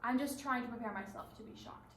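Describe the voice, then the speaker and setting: A woman's voice: a little high, fast talker, a bit bright, natural voice. A woman performing in a readers theatre piece with rehearsed, theatrical delivery. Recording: roomy stage recording with background hiss.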